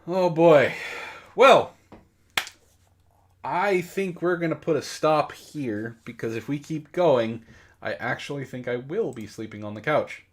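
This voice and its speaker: A man's voice: a little high, expressive, a little slow. A man hosting a casual comedic book club podcast, bantering in a mock-exasperated way.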